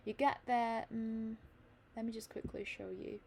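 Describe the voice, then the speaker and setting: A woman's voice: on the high side, little pitch movement, a little slow, vocal fry, background noise. Chinese woman teaching in a learned British accent. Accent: British English, learned. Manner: reads passages aloud, then explains with fillers and restarts. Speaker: English tutor. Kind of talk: reading and explaining an article.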